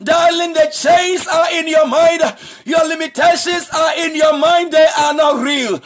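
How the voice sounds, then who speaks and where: A man preaching a sermon as pastor, shouting with a repetitive, sing-song cadence. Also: very high-pitched, fairly even intonation, measured pace. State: married. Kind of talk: impassioned preaching.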